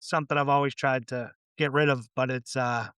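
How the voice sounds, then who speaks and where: A man's voice: medium pitch, fairly even intonation, a little fast, fairly bright tone, clean tone, no echo, studio quality. A man hosting a podcast interview, loose and chatty with plenty of fillers. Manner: loose, chatty, lots of fillers and "you know". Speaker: podcast host.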